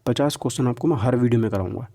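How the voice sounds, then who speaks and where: Mellow male teacher. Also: mid-range voice, racing. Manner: instructive, mixes in English terms, frequent check-in tags. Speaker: exam-prep physics teacher. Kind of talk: informal lecture going through a syllabus.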